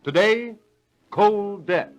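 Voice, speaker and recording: A man's voice: sits high, animated, very slow, over loud music. A man announcing a 1930s radio show and its sponsor with emphatic, formal sales delivery. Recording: dull vintage radio recording.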